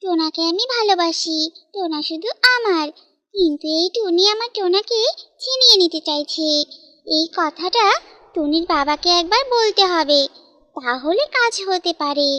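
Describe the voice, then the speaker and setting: A woman's voice: extremely high pitch, lively intonation, moderate pace, bright, forceful tone, exaggerated voice. A woman voicing a jealous young female pigeon in a cartoon, plotting possessively aloud. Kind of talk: cartoon fairytale monologue.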